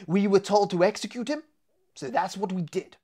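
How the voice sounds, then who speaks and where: A man's voice: high voice, moderate pace, dark tone, voice pushed up, close to an everyday voice. A man voicing a proud, matter-of-fact Roman general in a children's storybook, with a clipped, pompous manner. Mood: brisk, matter-of-fact.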